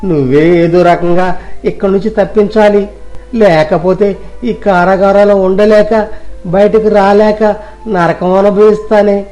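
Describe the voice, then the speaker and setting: A man's voice: high-pitched, fairly even intonation, measured pace, dark tone, soft background music. A man playing an aggrieved working husband who complains plaintively to his wife in a dramatised moral story. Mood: aggrieved, pleading.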